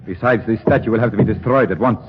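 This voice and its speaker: Cold villain scientist played by a man, lowish, impatient and rapid, echoing old radio drama. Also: close to an everyday voice. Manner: brisk, impatient, bossy. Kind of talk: 1940s radio acting. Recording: muffled, echoing, noisy 1940s radio recording.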